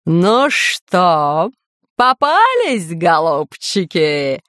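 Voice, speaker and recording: A woman voicing a booming, grandiose masked villain who rules an island, with imperious, drawn-out speech, in a children's storybook. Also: wildly swooping pitch, a bit bright, no echo, exaggerated voice. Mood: gloating menace. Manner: thundering, drawn-out, imperious delivery. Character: booming masked villain, self-proclaimed lord of dinosaur island, grandiose, menacing.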